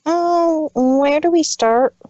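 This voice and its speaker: A woman's voice: high voice, slow, dark tone, dry recording, highs cut off. A woman voicing an earnest, loyal young girl pony in a cartoon fanfic drama. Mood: curious, earnest.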